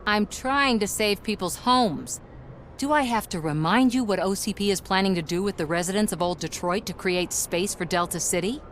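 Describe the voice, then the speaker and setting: A woman's voice: mid-range voice, normal speed, a bit bright, a little hiss or hum, close to an everyday voice. An actress playing a determined, wry woman activist in a video game, with an earnest way of talking. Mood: earnest, urgent. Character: determined, wry female activist snooping on a corporation.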